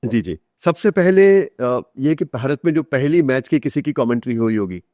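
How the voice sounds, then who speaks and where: A middle-aged man with an Indian accent talks as an interview guest, unfolding anecdotes in a conversational, explanatory way. His pitch is average for a man and he slips into English phrases now and then. The sound is dry, with no room on it. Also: expressive, normal speed, warm tone, no room sound. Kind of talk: conversational storytelling in a podcast interview.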